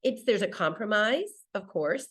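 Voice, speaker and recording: A woman in her sixties with a mid-pitched voice, speaking native English as a guest in a conversation. She tells anecdotes in a casual, wandering way, breaking off and restarting as she goes. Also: very animated, normal speed. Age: 60s. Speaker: interview guest, solo traveler.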